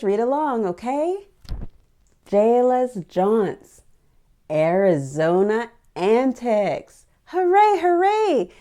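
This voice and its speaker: A woman with a soft-edged, mellow voice hosts a read-along for small children. She takes her time at an unhurried pace. Her delivery is encouraging and full of exclamations and simple questions for the young audience. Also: a little high. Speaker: children's storytime host.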